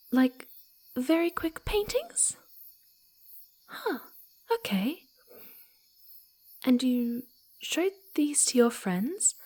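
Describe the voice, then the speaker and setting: Young female fox spirit, very animated intonation, slow pacing, cutesy, mischievous, affectionate, ASMR roleplay. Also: sits high, heavily acted. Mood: amazed. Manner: giggly, cutesy, teasing, often hesitant.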